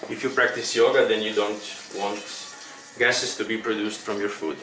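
A man's voice: lowish voice, flat delivery, pushed and bright. Croatian-accented man, distant in an echoey room. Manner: halting, explanatory. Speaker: cooking instructor. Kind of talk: informal cooking demonstration. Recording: roomy, distant mic with clear background noise.

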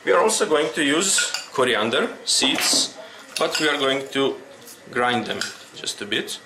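A man with a Croatian accent giving an informal cooking demonstration, explaining things in a halting way. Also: medium pitch, sing-song. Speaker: cooking instructor. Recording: roomy, distant mic with clear background noise.

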